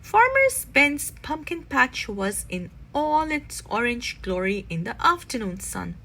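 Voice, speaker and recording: Female children's read-aloud narrator: very animated, fairly high voice with a husky edge. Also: a little slow. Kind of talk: expressive scripted storybook narration.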